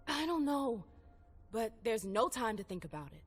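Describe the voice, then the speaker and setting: An actress playing a calm, protective superior in a video game cutscene, steady and reassuring. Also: female voice, on the high side, moderate pace, slightly rough. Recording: music behind.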